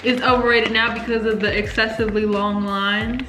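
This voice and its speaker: A woman's voice: a little high, level tone, moderate pace, background noise. A young woman hosting her own vlog, chatting informally and a little hesitantly as she eats. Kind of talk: casual chat while eating. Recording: echoey room, music behind. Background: light background music.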